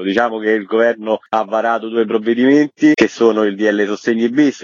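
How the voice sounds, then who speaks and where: A man's voice: lowish voice, darkish tone, no room sound. A man speaking as an activist guest in a radio interview, explaining politics in formal, articulate phrasing. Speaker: activist guest representing performing arts workers. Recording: dull, lo-fi band-limited line.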